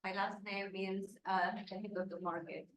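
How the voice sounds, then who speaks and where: A woman's voice: medium pitch, steady tone, moderate pace. A woman from Syria on a real estate panel, speaking hesitantly with many restarts and fillers. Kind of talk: panel introduction. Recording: echoey room, distant mic, faint noise.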